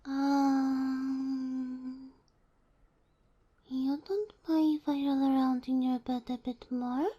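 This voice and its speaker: Woman voicing a breathy, high-pitched, sweet fox-girl spirit. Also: level tone, extremely slow, pauses often, lo-fi sound, exaggerated voice. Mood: dreamy, content. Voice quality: soft, breathy, often whispering. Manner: gentle, bashful, flustered hesitations. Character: sweet, shy, submissive young female kitsune fox spirit familiar. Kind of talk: ASMR roleplay.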